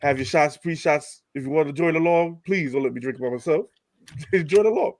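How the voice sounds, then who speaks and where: A man's voice: a little high, a little fast. A man hosting a casual podcast, stuffed up, laughing often and swearing as he talks. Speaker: podcast host.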